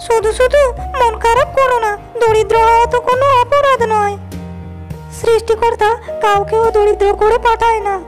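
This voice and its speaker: A woman voicing a kind, wise fairy in a cartoon, speaking in a gentle, reassuring way. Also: very high voice, little pitch movement, moderate pace, music in the background.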